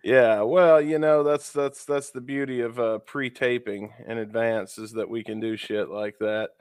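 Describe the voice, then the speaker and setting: A man's voice: medium pitch, steady tone, a little slow, darkish tone, clear, resonant tone, no room sound. A man co-hosting a podcast, chatting casually and profanely with many fillers, sounding stuffy and sniffly from being sick. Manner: loose and profane, lots of fillers. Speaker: podcast co-host.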